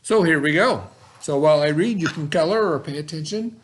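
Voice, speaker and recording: Higher-voiced man teaching young children. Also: fairly quick. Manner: rambling, simple talk addressed to young pupils. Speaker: elementary school teacher. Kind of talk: informal video lesson and children's read-aloud.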